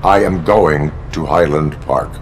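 A stoic cyborg policeman for a video game. The voice sits low, mechanical and formal, each phrase stiff and unbending. Faint noise lies behind it. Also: measured pace. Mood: flat, dutiful. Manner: stiff, formal, monotone delivery.

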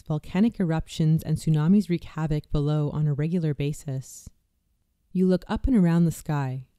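A meditation guide narrates a guided visualization with a deeply mellow, dark-toned voice. The delivery is slow, soft and soothing, sometimes dropping to a hush. The sound is smooth and dry, with no room echo.

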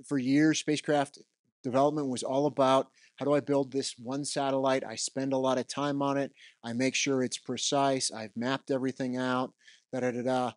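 A man's voice: mid-range voice, no echo. Roaming middle-aged man at a handheld mic. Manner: conversational, roams the stage, frequent "right?" tags. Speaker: keynote speaker. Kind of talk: unscripted keynote talk. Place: conference hall with audience. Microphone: handheld microphone.

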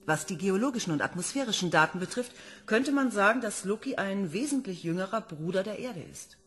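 A woman's voice: medium pitch, animated, brisk, a bit bright, slightly rough. A woman playing an earnest planetology professor. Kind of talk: radio play acting.

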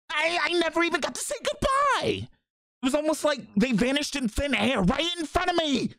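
A woman acting out emotional practice lines as an amateur voice actor, with a theatrical, deliberate delivery. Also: high-pitched, sing-song, fairly bright tone, no echo.

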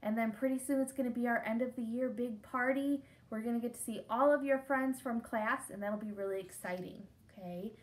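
A woman's voice: a little high, brisk, few pauses, low-quality recording. A woman in her thirties, a teacher reading a picture book expressively and explaining it simply for young children. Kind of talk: picture-book read-aloud with questions to children. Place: indoors, small room against a brick-patterned wall.

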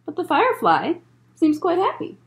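A woman in her thirties reading a picture book aloud as a children's librarian, in measured phrases with chatty asides. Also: very high voice, wildly swooping pitch, gravelly. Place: library room, indoors.

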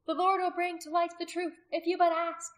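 Woman playing a devout, pleading young wife, raised pitch. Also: little pitch movement, moderate pace. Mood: earnest pleading. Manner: earnest, pleading, prayerful. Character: devout condemned wife, surrendered, grieving yet steadfast.

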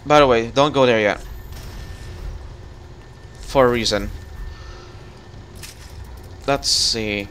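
A man talking his partner through a game in a relaxed, instructive way, his voice at an ordinary pitch. A faint hiss of noise sits under the recording. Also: takes it slowly, long pauses. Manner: instructive, coaching. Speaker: gamer guiding a co-op partner. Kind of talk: casual gameplay chat.

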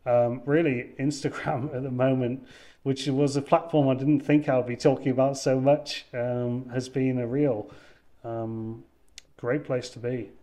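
A young man talking casually as a guest on a video call, wearing a headset, often pausing with ums and restarting his sentences. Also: medium pitch, flat delivery, mellow. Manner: hesitant, many ums and restarts. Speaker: wine content creator guest. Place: indoors, room with wine shelves. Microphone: over-ear headset on video call.

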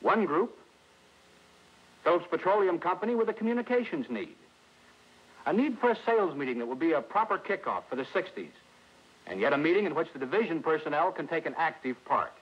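A man's voice: a little high, big pitch swings, a little fast, pauses often, breathy edge. A middle-aged American man presenting scripted 1960s industrial film narration as a company spokesman, in a formal, salesmanlike way. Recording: dull, lo-fi vintage film soundtrack.

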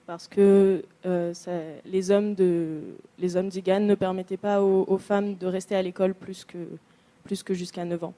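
A woman interpreting a speaker's words into French, plain and steady with brief pauses. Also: medium pitch. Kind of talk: consecutive interpretation.

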